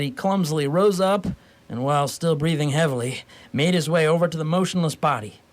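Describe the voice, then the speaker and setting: A man narrating a scripted comic radio story in a wry, mock-formal way. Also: fairly high pitch.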